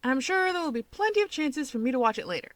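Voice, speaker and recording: A woman's voice: high-pitched, sing-song, quick pace, fairly bright tone, dry recording, voice pushed up. A woman voicing a cocky, insistent young male hero from a fan story, with a taunting, emphatic delivery. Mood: defiant.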